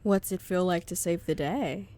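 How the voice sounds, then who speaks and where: A man's voice: high voice, moderate pace, warm tone, pitched above the performer's own voice, close to an everyday voice. A man voicing a sly woman journalist who teases and probes the inventor with her questions in a fan audio drama. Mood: teasing. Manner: teasing, probing questions.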